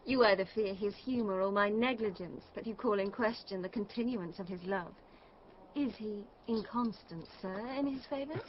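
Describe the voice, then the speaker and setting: An actress playing a young woman disguised as a gentleman servant, earnest and courteous, in a Shakespearean radio drama. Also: medium pitch, normal speed, highs cut off, a little hiss or hum, lightly acted. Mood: anxious courtesy.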